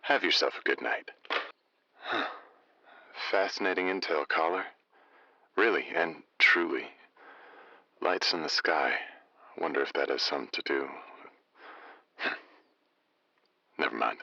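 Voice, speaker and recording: An actor playing a laid-back, unflappable night-shift radio DJ with easygoing, poetic phrasing in an audio drama. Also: male voice, rumbling low, unhurried, creaky, long pauses, pushed and bright, no room sound, highs cut off, full character voice. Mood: breezy, musing. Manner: chill, easygoing, florid phrasing.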